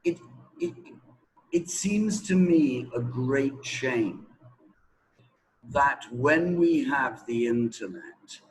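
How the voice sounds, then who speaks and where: A man's voice: mid-range voice, lively intonation, extremely slow, rough recording. A man giving explanatory interview answers as a teacher, emphatic and repeating words for stress.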